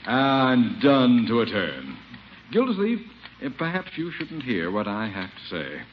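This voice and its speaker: An actor playing a haughty, demanding middle-aged gentleman in a 1950s radio sitcom, pompous and sarcastic. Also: medium pitch, very animated, measured pace. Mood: delighted, smug. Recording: muffled old radio recording, faint noise.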